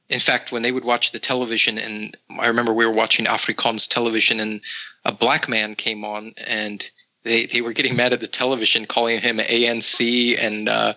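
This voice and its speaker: A man from the Netherlands, a guest on a podcast, chatting casually and telling stories with frequent pauses. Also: fairly low pitch, rough recording. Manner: relaxed storytelling with frequent pauses.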